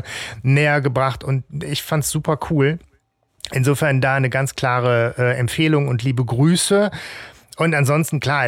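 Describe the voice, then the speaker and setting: Gravelly-voiced enthusiastic male podcaster. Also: medium pitch, dry recording, clean studio sound. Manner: enthusiastic, rambling with restarts. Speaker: podcast co-host. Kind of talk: casual podcast chat.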